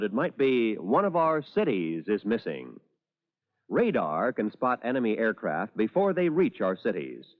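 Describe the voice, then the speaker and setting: A male announcer reads in formal 1950s radio style with swooping, animated pitch. His delivery is measured and emphatic. The old recording is dull, with a long reverberant tail.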